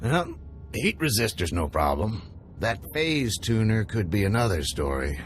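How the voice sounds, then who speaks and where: Folksy, expressive working-class salvager. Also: male voice, fairly low pitch, measured pace. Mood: grateful. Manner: folksy, drawling, earnest. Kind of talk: video game character acting. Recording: music behind the voice.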